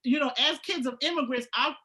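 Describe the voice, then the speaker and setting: A woman from the Bahamas hosting, telling stories in a lively way and laughing often. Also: high-pitched, brisk. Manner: animated storyteller, laughs often. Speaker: host. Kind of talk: casual panel talk.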